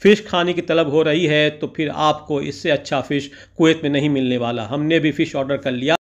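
Male vlog narrator, slightly raised pitch, ornate poetic delivery. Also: steady tone, fairly quick. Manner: flowery Urdu-laced phrasing with couplets and praise. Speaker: vlogger narrating a food outing.

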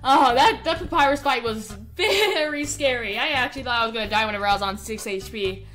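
A woman's voice: fairly high pitch, brisk, fairly bright tone, soft background music. A woman hosting a gaming video with playful, giggly and enthusiastic commentary. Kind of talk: playful game commentary and roleplay.

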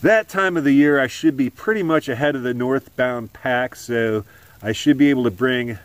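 A middle-aged man hosting a hiking vlog, talking to the camera under a tarp outdoors in the woods in a relaxed, chatty way with lots of "uh"s. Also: medium pitch, normal speed, no echo. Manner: relaxed and chatty, many filler "uh"s. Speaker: hiking vlogger. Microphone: camera at arm's length.

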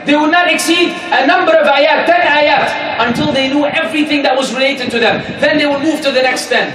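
High-pitched, emphatic male preacher in echoey room. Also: a bit bright. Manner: emphatic, exhortatory, with deliberate repetition. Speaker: preacher giving Friday sermon. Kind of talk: sermon. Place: indoor room in a mosque. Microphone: stand microphone in front of speaker. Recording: echoey, noisy room, distant-sounding.

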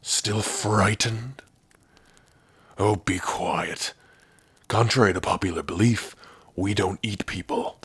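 A man voicing a big, gruff orc prisoner in an ASMR roleplay, brooding and sardonic, with a husky, rough voice. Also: fairly low pitch, slow pace, long pauses, fairly bright tone, no echo, full character voice. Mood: menacing, bitter. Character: big gruff male orc prisoner, guarded but lonely. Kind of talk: ASMR roleplay addressed to the listener.

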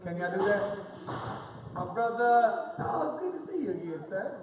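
A young man leads a fundraising appeal from the pulpit, calling out names and amounts in a steady rhythm. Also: high-pitched, moderate pace, a crowd in the background. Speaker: minister leading a fundraising appeal. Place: large hall with an audience. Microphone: handheld microphone recorded from a far camera. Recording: echoey hall audio from far off.

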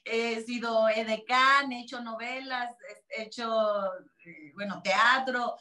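A woman's voice: fairly high pitch, slow pace, reverberant. A woman in her forties, born in Spain to Catalan parents and speaking Spanish with a Mexican accent, chatting animatedly as an interview guest over a video call. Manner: chatty, animated, many restarts. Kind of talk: casual interview chat. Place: small room, video call.

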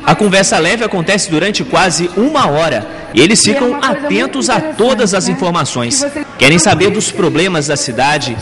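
A young man in his twenties reporting for local TV news, narrating in a measured, formal way. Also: sits high, in a large hall. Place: school hall with a large crowd of students. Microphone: handheld reporter mic.

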